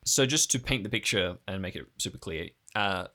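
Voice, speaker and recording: A man's voice: low-pitched, normal speed, pushed and bright. A man narrating improvised, descriptive scenes as game master of an adventure podcast.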